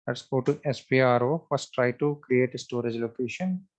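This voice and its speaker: A man giving a step-by-step screen-share software tutorial, thinking aloud in a halting, repetitive, instructional way. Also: mid-range voice, muffled recording. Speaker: software trainer.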